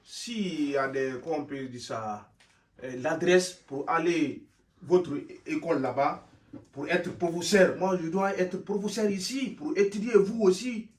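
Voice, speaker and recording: An actor playing a boastful man newly arrived from abroad who wants to be a teacher, speaking halting broken French with many stammers. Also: fairly high pitch, natural voice. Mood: earnest. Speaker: sketch comedy actor. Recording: roomy sound, from some distance.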